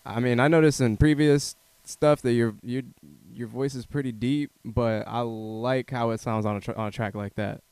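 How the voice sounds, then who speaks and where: A man hosting a casual interview, relaxed and encouraging, with a lot of "like" in his speech. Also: medium pitch, moderate pace, hardly any pauses, clear, resonant tone, no room sound. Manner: relaxed, affirming, uses "like" and "man". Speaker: interview host.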